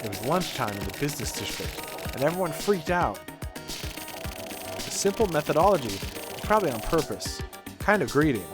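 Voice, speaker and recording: A man's voice: a little high, sing-song, moderate pace, pauses often. A man streaming a game, reading its text aloud in character with a theatrical, colloquial delivery. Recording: music playing behind the voice.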